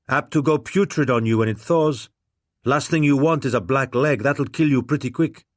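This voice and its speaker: A man's voice: medium pitch, normal speed, dry recording, studio quality, voice pushed up, lightly acted. A man reading aloud voices a blunt frontier guide who talks in a plain, matter-of-fact way.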